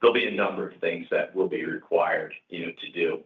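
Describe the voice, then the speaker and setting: Deep-voiced man on an echoey conference line. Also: steady tone, gravelly. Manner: halting, repeats "you know" constantly. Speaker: company executive. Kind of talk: answering analyst questions on an earnings call. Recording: echoey, distant, phone-like conference call.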